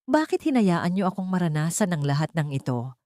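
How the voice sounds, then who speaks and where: A woman's voice: lowish voice, sing-song, a little fast, warm tone, no echo. A woman storyteller acts out a wounded daughter hardening into an avenger. She is a woman of intense emotion in an audio story drama, recorded dry. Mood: hurt.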